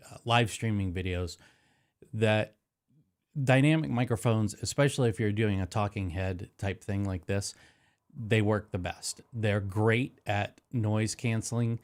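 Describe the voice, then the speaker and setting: A man's voice: on the low side, darkish tone. Nasal man demoing his streaming mic. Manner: rambling, self-correcting, with frequent fillers. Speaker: live streaming tech host. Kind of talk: casual gear demonstration. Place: home streaming studio. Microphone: close dynamic broadcast microphone.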